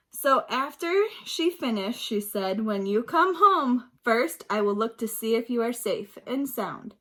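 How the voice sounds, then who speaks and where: A woman with a fairly high voice reads a picture book aloud to young children. She is expressive, mixing storybook narration with simple questions and explanations, in the manner of a teacher.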